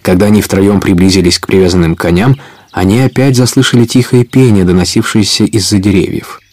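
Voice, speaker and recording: A man's voice: lowish voice, steady tone, fairly quick, darkish tone. A man narrating a story for a Russian audio play in a scripted storytelling style.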